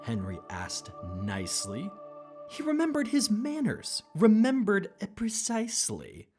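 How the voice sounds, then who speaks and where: A woman's voice: medium pitch, huge pitch swings, a little slow, music in the background. A woman narrating a rhyming children's storybook as a scripted read-aloud.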